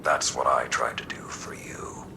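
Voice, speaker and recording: A man playing a grave, battle-worn mentor who talks bluntly in measured, hushed tones in a video game. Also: very deep voice, fairly even intonation, creaky, in a whisper, heavily acted. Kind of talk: scripted game dialogue.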